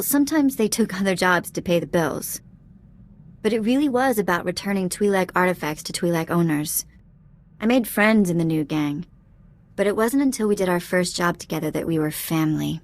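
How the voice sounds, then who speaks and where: A woman playing a cheeky, warm-hearted young alien ex-thief in a video game, speaking in a casual, candid, slangy way. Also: fairly low pitch, close to an everyday voice. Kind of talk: video game dialogue acting.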